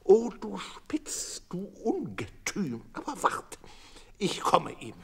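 A storybook widow played by a man scolds in outrage. The voice is high and breathy, with exclamations that swoop. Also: huge pitch swings, slow pace, whispered, full character voice. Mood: outraged. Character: scolding old widow angry at her dog.

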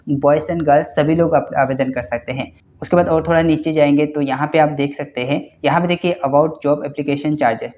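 A young man hosting a job-vacancy channel, walking viewers through a listing on screen in a quick, repetitive explainer style, often addressing them as guys. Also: on the high side, darkish tone. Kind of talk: screen-share walkthrough explainer.

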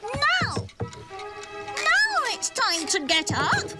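A woman's voice: very high voice, wildly swooping pitch, extremely slow, heavily acted. A woman voicing a small, impatient, cheeky young cat in a children's cartoon, indignant and whiny. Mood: impatient, demanding. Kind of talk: animated children's cartoon acting. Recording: loud music behind the voice. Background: music.

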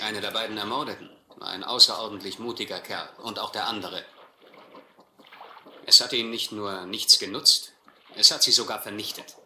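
An actor playing an educated, resigned gentleman castaway in a radio play, articulate, earnest and bitter. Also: fairly low pitch. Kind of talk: German radio play narration and dialogue. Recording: roomy, faintly noisy radio play recording.

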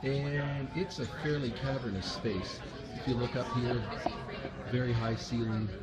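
A man's voice: medium pitch, fairly even intonation, a little slow, over loud music, a loud crowd. A man in his fifties filming a casual vlog from his car and a rehearsal hall, talking with lots of filler words. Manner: chatty, many "uh" fillers. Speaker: woodwind doubler vlogger. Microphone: handheld camera at arm's length.

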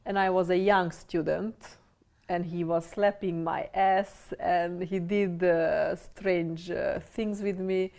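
A woman with a Hungarian accent answers interview questions in a low, gravelly voice. She speaks English as a second language, slowly and deliberately, and often pauses to find the right word. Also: fairly even intonation, darkish tone. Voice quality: slightly deep voice. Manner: measured, searching for words.

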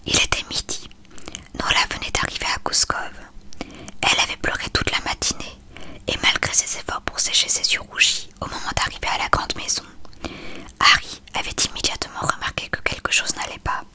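A person reading a novel aloud in a breathy whisper, in scripted narration. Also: a little fast, pushed and bright. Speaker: audiobook reader.

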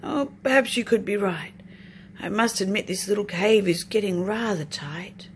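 A woman's voice: medium pitch, normal speed, close to an everyday voice. Big sleepy storybook bear done by a woman. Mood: sleepy wonder. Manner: weary, yawning drawl. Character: big, very sleepy, gullible grumpy male bear. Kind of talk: children's storybook read aloud. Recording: noisy home recording.

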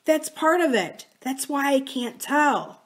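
A woman voicing a sweetly pleading, manipulative young woman in an audiobook, with soft, drawn-out phrases. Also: sits high, animated, voice pushed up. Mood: coaxing, confiding. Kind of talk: audiobook character dialogue.

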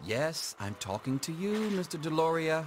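A man voicing a dry, patient, middle-aged schoolteacher in a video game, measured and wry as he runs an exam. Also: fairly high pitch, big pitch swings, faint music behind. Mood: dry, instructive. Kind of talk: video game dialogue acting.

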